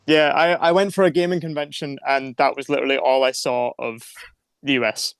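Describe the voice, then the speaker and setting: A man's voice: medium pitch, fairly even intonation, fairly quick, clear, resonant tone, no echo. A Scottish man chatting casually as an interview guest, easygoing and dry-humoured. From Scotland. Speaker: band member, interview guest. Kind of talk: casual chat.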